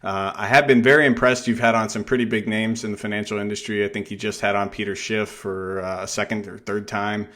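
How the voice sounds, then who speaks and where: A man's voice: on the low side, little pitch movement, brisk, hardly any pauses, bright, forceful tone. A man hosting a casual, conversational podcast interview.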